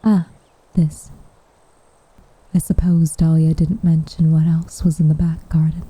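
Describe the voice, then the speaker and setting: A woman acts out a gentle witch with a wistful, guarded tenderness for an ASMR roleplay. Her voice is lowish, very mellow and breathy, often slipping into a whisper. It is recorded close and dry, with insects chirping behind her. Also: little pitch movement, dry recording, low-quality recording. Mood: gently teasing. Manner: soft, breathy, tender, measured delivery. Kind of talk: soft roleplay ASMR, intimate and half-whispered. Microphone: close microphone.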